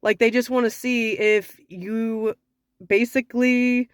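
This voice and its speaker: A young woman with a slightly raspy voice from sinus trouble, giving frank dating advice in a casual talk to camera. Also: fairly high pitch, steady tone, slow, dry recording, clean studio sound. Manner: frank, conversational, sassy.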